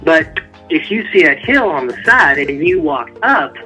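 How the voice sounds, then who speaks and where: A man's voice: on the high side, very animated, a little slow, over music, low-quality recording. A man speaking as an author guest in a casual interview, earnest and motivational.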